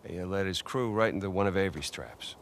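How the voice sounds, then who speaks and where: A man's voice: low-pitched, a little fast, slight background noise. An American man voicing a stubborn, restless male treasure hunter in a video game cutscene, urgent and persuasive. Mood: wry, weary. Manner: urgent, insistent, stammering when pushed. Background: music.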